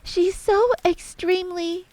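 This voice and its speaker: Young storybook girl, played by a woman: polite, prim, earnest, pitched high and light. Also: steady tone, slow pace, a little mellow, no room sound, rough recording. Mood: exasperated.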